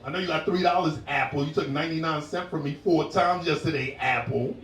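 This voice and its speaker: A man in his forties doing a stand-up set, telling stories in a laid-back way and breaking into loud shouted impressions. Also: on the high side, brisk, slight background noise. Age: 40s. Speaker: stand-up comedian. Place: comedy club stage. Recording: roomy club sound from a distance.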